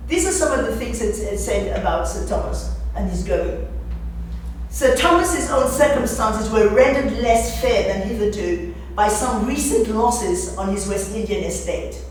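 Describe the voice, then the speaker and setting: A woman's voice: mid-range voice, animated, measured pace, a little mellow. A woman giving an informal lecture, speaking emphatically and conversationally, with rhetorical questions and repeated phrases. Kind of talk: informal lecture with readings from a novel. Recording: very echoey room, distant mic, faint noise.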